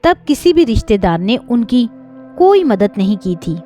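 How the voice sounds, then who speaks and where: A woman's voice: a little high, sing-song, measured pace, dark tone, soft background music. A woman voicing gossipy, suspicious elderly residents of an old-age home in a story. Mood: gossipy, curious. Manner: questioning, gossipy.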